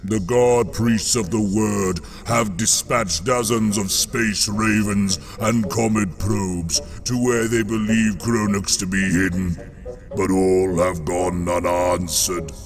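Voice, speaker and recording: Man as a low, deadpan, put-upon watchman god. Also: slow pace, over music. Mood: dry, reproachful. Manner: formal, deadpan, dryly insolent. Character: dry, put-upon male watchman god, quietly disdainful. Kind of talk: motion comic voice acting.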